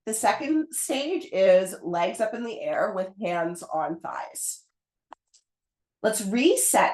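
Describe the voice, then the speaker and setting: Hoarse lady teaching pilates, calm encouraging cues, roomy echo. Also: medium pitch. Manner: calm, encouraging step-by-step cueing. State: hoarse, getting over a cold. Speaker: pilates instructor. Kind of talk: guided pilates class cues.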